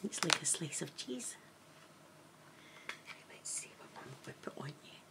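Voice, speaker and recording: Whispering Glaswegian woman, gravelly. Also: deep voice, lively intonation, a little slow. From Scotland. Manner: soft whisper, chatty and rambling. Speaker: ASMR creator. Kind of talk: whispered ASMR makeup role-play with rambling chat. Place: small room at home, indoors. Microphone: camera close to the face.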